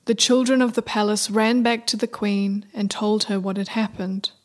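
A woman's voice: mid-range voice, fairly even intonation, moderate pace. A woman narrating a scripted folktale as the storyteller of a radio play. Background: music.

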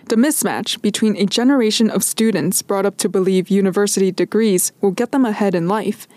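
A woman hosting a podcast, putting measured, articulate journalistic points and questions to a guest. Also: medium pitch, darkish tone, dry recording. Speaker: podcast host, interviewer. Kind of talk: scripted narration and interview questions.

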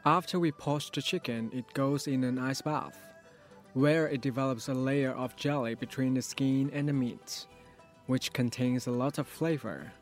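A man's voice: medium pitch, steady tone, normal speed, soft background music. A chef being interviewed, plainly explaining how he cooks.

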